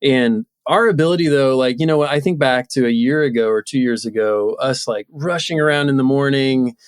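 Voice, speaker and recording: A man's voice: mid-range voice, normal speed, clear, resonant tone, no echo. A man in his thirties talking casually and reflectively as a guest in an interview. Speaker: podcast guest, entrepreneur.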